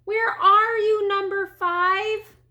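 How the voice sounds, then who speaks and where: A woman voicing an excitable, protective storybook mother duck with a dramatic, exclaiming manner. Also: high voice, unhurried, exaggerated voice. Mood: worried calling.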